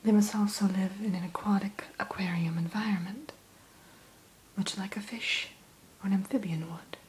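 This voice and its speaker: A woman whispering softly as a dragon hatchery keeper in an ASMR roleplay, gentle and a little formal, close to the mic. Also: mid-range voice, fairly even intonation, a little hiss or hum, poor audio. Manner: soft whisper throughout; gentle, unhurried, mildly formal.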